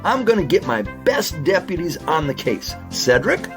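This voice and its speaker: A man's voice: fairly high pitch, normal speed, music in the background. A man acting an earnest, folksy raccoon sheriff detective in a children's audio drama. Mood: curious, businesslike. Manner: animated, folksy, wordplay-loving.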